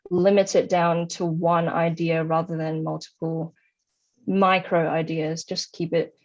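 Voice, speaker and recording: A young woman speaks in a fairly low voice, offering conversational advice as the guest in an interview. The sound is a little dull and muffled. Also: little pitch movement, unhurried. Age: young adult. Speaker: interviewee, high-achieving former student.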